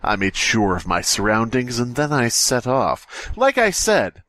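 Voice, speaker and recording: A man's voice: mid-range voice, very animated, a little slow, fairly bright tone, dry recording. A man co-hosting a podcast, moving between casual comic banter and reading aloud, with a mock-exasperated manner. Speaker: podcast co-host.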